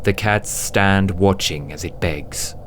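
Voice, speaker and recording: Man, low voice, slow suspenseful narration, dramatic audiobook style, faint background noise. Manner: suspenseful, hushed at times, deliberate.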